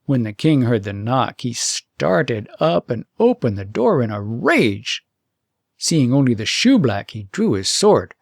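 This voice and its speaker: Male audiobook narrator for fairytales: expressive, mid-range, some fry in the voice, very dry sound. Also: mid-range voice, unhurried, no echo. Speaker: audiobook storyteller.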